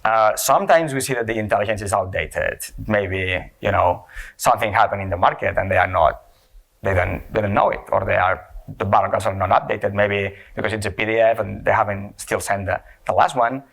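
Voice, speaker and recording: A man with a Spanish accent speaks from a conference stage, his voice sitting a little on the low side. He talks in loose, searching phrases and often finishes a point with "okay?" or "you know". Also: brisk, gravelly, fairly bright tone. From Spain. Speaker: conference speaker, company CEO. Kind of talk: conference presentation. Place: conference stage before an audience.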